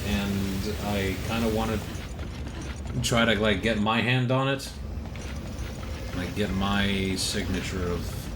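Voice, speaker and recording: A man with a low, bassy voice rambling casually as he streams a video game live. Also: noisy background. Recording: music behind the voice. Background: game music.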